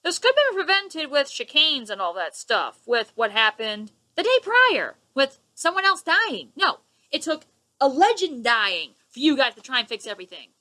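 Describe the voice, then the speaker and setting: A young woman vents into her webcam from her living room, sarcastic and indignant. Her voice is high, bright and edgy, and it leaps up and down as she hammers her point home. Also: very animated, normal speed. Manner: indignant, sarcastic, gestures a lot. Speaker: vlogger. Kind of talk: ranting webcam vlog. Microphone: webcam at close range.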